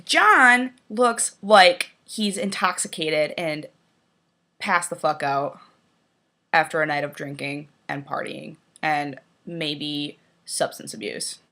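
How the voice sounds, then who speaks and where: A woman's voice: sits low, slow pace, pushed and bright. A woman with a head cold, sniffling as she mocks a children's book in a sarcastic, profane casual commentary. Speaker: YouTuber.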